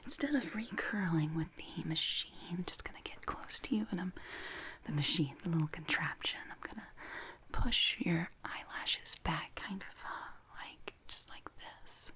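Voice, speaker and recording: A woman whispering gently and haltingly in a soft-spoken ASMR personal-attention roleplay, playing an attentive makeup artist. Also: on the low side. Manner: gentle, hushed, unhurried, halting with soft pauses.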